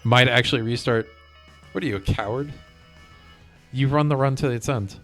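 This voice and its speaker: Guy livestreaming a game, mid pitched voice, chatting casually, bit of music behind him. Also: normal speed, a bit bright. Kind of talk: casual gaming chat.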